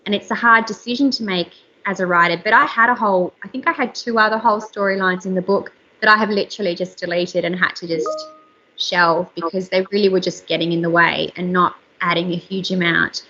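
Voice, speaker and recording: A woman in her thirties, an author and journalist, chatting candidly with a slightly squeaky voice from a room at home over a video call. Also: steady tone, fairly quick, sharp tone, highs cut off. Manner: chatty, candid, frequent fillers. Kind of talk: casual interview chat.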